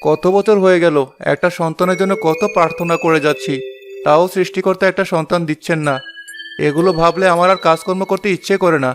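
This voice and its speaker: A man's voice: high-pitched, fairly even intonation, quick pace, music in the background, lightly acted. A man voicing a kind but weary village woodcutter in a children's cartoon. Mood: weary, grumbling.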